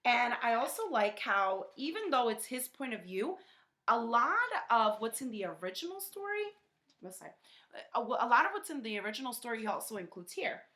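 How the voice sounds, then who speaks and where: A woman's voice: on the high side, big pitch swings, normal speed, pushed and bright. A woman teacher talking to young pupils in a conversational way, often checking in with a short questioning tag. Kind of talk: children's lesson talk.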